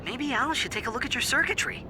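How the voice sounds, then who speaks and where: An actor playing a young alien hero in a cartoonish video game, earnest and brash, talking casually and emphatically. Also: male voice, extremely high pitch, fast talker, over loud music. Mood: excited, urgent.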